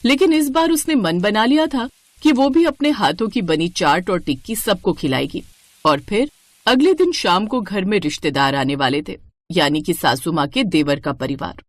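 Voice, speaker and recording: A woman's voice: medium pitch, animated, moderate pace, dry recording. A woman narrating a scripted cartoon moral story as its storyteller.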